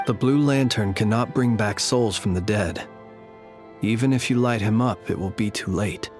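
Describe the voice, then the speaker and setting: A man voicing a courtly lantern-bearer who lays ghosts to rest, speaking in a formal, measured, poetic way. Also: lowish voice, music in the background, close to an everyday voice. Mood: grave. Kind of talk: video game voice acting.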